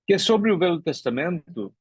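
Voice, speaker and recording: A man's voice: high voice, big pitch swings, moderate pace, husky, no echo. A man speaking as a guest at a prayer meeting, thoughtful, with small restarts.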